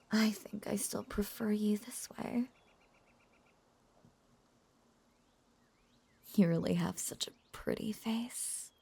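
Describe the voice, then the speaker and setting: A woman playing a lovesick, obsessive witch in an intimate roleplay audio drama, cooing in a breathy, husky half-whisper with lingering pauses. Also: mid-range voice, warm tone, heavily acted. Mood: doting, teasing. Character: lovesick obsessive young witch, possessive, teasing and doting.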